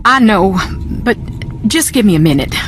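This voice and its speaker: An actress playing a shaken, upset woman in a video game, halting and distressed. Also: mid-range voice, animated, a bit bright, soft background music, lightly acted.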